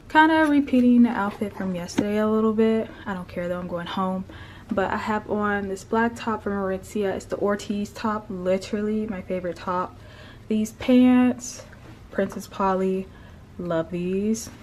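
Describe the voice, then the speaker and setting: Young woman, hoarse, raspy, chatty vlogger, gushing, phone camera, clear background noise. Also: medium pitch, darkish tone. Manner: gushing, chatty, peppered with "like" and "literally". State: hoarse after a concert. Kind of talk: casual vlog chat to camera.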